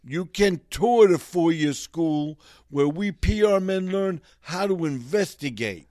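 Man doing a mobster-voiced official, New York Italian-American accent, high-pitched, slow drawl, evasive, comedy sketch. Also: lively intonation, a little mellow, no room sound, studio quality. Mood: menacing. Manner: evasive, tough-guy street talk, slow and drawling. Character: shifty male Saudi PR chief with a mobster manner.

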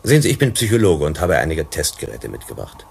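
An actor playing an earnest, persuasive psychologist in a German sci-fi radio drama, explaining and pleading. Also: male voice, a bass voice, wildly swooping pitch, fast talker, vocal fry, slight background noise, heavily acted. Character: earnest, persuasive male company psychologist and negotiator.

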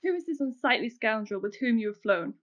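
Woman doing a hot-headed pompous major in a radio farce, highish voice, melodramatic, bit muffled. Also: expressive, brisk, close to an everyday voice. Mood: outraged. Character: blustering jealous male army major, hot-tempered jilted groom. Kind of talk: theatrical radio-play farce acting.

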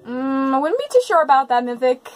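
A woman's voice: high-pitched, expressive, fairly quick, crowd noise. A woman giving casual reaction commentary, dropping exclamations and asides to the characters as she watches.

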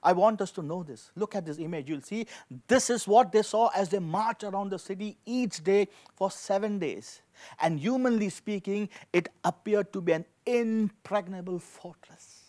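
High-pitched middle-aged man preaching emphatically. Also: normal speed, darkish tone. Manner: emphatic, repeats key phrases, rhetorical questions. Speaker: pastor. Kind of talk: sermon. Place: indoor studio with dark backdrop, at a lectern. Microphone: handheld microphone.

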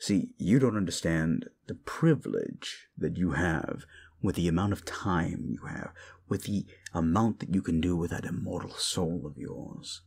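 A man's voice: sits low, expressive, measured pace, creaky, a little mellow, slightly rough, full character voice. A man playing a sardonic, hungry demon in an audio roleplay, talking to the listener in a condescending, theatrical, mocking way with muttered asides. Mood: exasperated, bitter.

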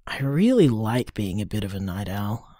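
Young man, tender and upbeat, soft musing delivery, lively intonation, dry close sound, audio drama. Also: lowish voice, no room sound. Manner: soft, musing, unhurried, affectionate.

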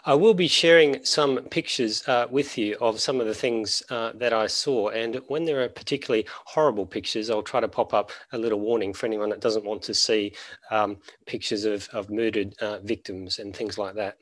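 A man's voice: on the low side, steady tone, normal speed, fairly bright tone, no echo. A man in his forties giving a conference keynote over a video call on a headset mic, conversational with many fillers and restarts. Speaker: keynote speaker, veterinary professor. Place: small office at home.

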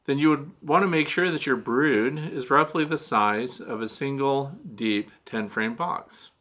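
A man's voice: mid-range voice, a little slow, pushed and bright. A man in his sixties hosting a beekeeping question-and-answer show, explaining in a chatty way with frequent fillers. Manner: chatty, gestures a lot, many "uh" fillers. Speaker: beekeeping Q&A host. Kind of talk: casual explanatory talk answering viewer questions. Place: small dim studio room with shelves.

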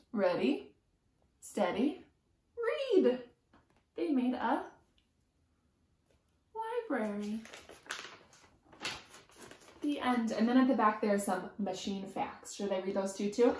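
A woman in her thirties, a preschool teacher reading aloud expressively to young children, with rhythmic, emphatic delivery. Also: fairly high pitch. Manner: rhythmic, sing-song, emphatic on sound words. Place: small room at home, indoors. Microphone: camera a few feet away. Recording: roomy echo from camera distance.

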